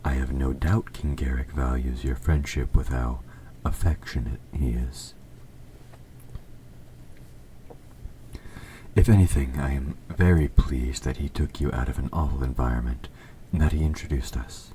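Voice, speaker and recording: Evil fantasy king for audio drama, played by a man: extremely deep, dark tone, measured courtly diction, smooth-talking with quiet menace. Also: steady tone, rough recording. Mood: pleased, scheming. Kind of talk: scripted audio roleplay addressed to the listener. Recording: faint background noise.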